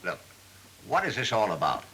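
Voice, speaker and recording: An actor playing a blunt, skeptical man haggling over a car in a mid-century training film, gruff and quick to interrupt. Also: lowish voice, huge pitch swings, pushed and bright, slight background noise, heavily acted, rough recording. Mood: impatient.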